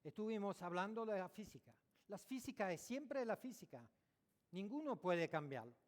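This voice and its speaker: Man, non-native speaker, conference lecture, stops to correct himself, slightly high voice, echoey hall. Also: animated. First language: French or Italian, not Spanish. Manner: halting non-native Spanish, searching for words and self-correcting. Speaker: dentist giving a conference talk.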